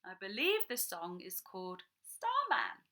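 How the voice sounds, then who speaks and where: A woman's voice: mid-range voice, huge pitch swings, unhurried, bright, forceful tone. A young woman reading a picture book to children, breaking off for chatty, enthusiastic asides. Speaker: children's storytime reader.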